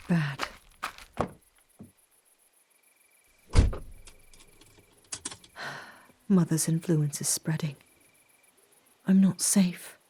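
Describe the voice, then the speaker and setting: Woman acting a low, slow, grave doctor, reverberant. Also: dark tone. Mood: brooding, uneasy. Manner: measured, composed, breathy asides and sighs. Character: calm, grave woman doctor investigating a dangerous curse. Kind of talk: scripted audio drama acting. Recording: long hall-like reverb.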